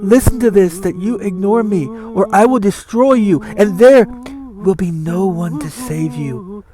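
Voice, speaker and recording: A man's voice: high-pitched, measured pace. A man giving a solemn, declamatory, theatrical reading of scripture. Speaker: scripture reader. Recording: music under the voice. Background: music.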